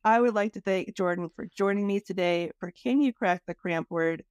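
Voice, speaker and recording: A woman's voice: mid-range voice, moderate pace, darkish tone, smooth, no echo, clean studio sound. A woman hosting a podcast in a casual, chatty style. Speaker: podcast host. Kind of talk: casual chat.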